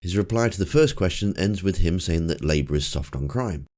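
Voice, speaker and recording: Hoarse guy with a cold, low voice that swings around a lot, dry little jabs. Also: expressive, a little fast, darkish tone. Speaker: political commentator. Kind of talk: casual commentary over clips.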